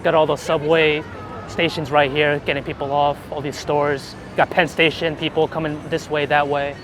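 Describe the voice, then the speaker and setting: A man's voice: a little high, flat delivery, normal speed. A man being interviewed as a guest, chatting casually and informally on a busy city street. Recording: noisy outdoor city street with traffic.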